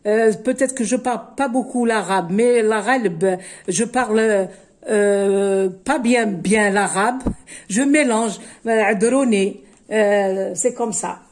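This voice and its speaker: A woman's voice: medium pitch, slow pace, a little mellow. A Kabyle-speaking woman hosts a home cooking tutorial, switching between French and Arabic with many hesitant fillers. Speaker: cooking channel host.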